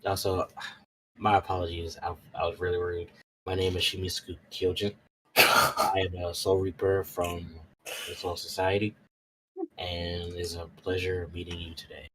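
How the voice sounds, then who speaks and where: A man's voice: deep voice, level tone, normal speed, background noise, close to an everyday voice. A man voicing a polite, proud, earnest Soul Reaper in a fantasy roleplay game, speaking formally. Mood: polite, apologetic.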